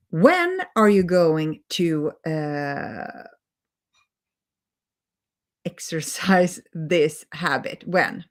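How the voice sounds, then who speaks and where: Swedish-accented woman, lower voice, unhurried live coaching talk, gentle and earnest. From Sweden. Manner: gentle, earnest, repeats words for emphasis. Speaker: holistic healing coach. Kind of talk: live-stream teaching talk.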